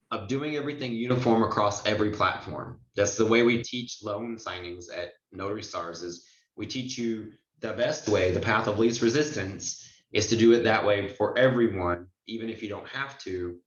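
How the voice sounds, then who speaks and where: A man's voice: fairly low pitch, moderate pace. A man giving candid, informal instruction as a trainer answering questions on an online group call. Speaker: notary trainer. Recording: dull, echoey online call audio.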